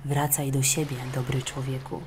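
Woman as magical fish queen, storybook tale, gentle, courteous, low-pitched, some background noise. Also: flat delivery, normal speed. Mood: gracious.

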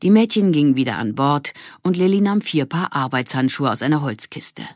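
A woman narrating a children's audiobook in an expressive storytelling manner. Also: deep voice, a little fast, no room sound, studio quality.

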